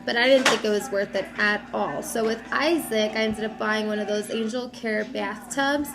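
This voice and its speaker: A young woman vlogging casually about baby gear, a little raspy from a cold, talking in a loose, chatty way with lots of fillers. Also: mid-range voice, steady tone, moderate pace. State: has a cold, slightly raspy. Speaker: mom vlogger. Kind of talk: casual chat to camera.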